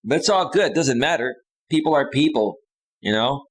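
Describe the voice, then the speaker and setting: A man's voice: medium pitch, lively intonation, normal speed. A man hosting a live stream, talking off the cuff and casually, with slangy, repetitive, insistent direct address. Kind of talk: casual off-the-cuff livestream monologue.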